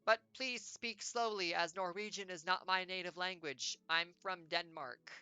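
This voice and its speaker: A woman's voice: on the low side, measured pace, sharp tone, clean tone, no room sound, soft background music. A woman voicing a murdered king's ghost who writes blunt, offhand notes to the living, in a text game. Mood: casual.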